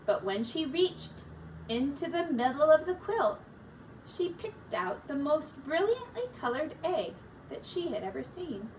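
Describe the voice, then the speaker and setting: A woman reading a children's picture book aloud as a teacher, in a deliberate, expressive storytelling manner. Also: high voice. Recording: distant mic with faint background noise.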